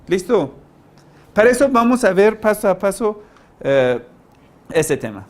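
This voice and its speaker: Male teacher, foreign-accented non-native speaker, slightly high voice. Informal, didactic lecture delivery, halting, with emphatic key words. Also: very animated. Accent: foreign, non-native Spanish. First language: not Spanish. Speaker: language-learning teacher, lecturer.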